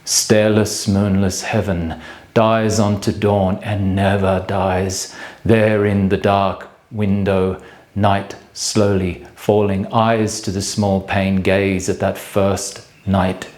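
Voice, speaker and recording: An actor playing a bleak, solitary man brooding on death in a theatrical monologue, speaking in grave, halting fragments. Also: sits low, flat delivery, a little mellow. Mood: bleak, haunted. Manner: halting, clipped fragments with measured pauses. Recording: echoey room sound.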